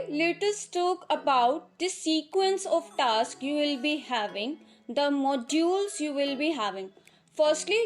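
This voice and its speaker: A woman giving a scripted exam lesson in an instructional, step-by-step manner. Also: sits high.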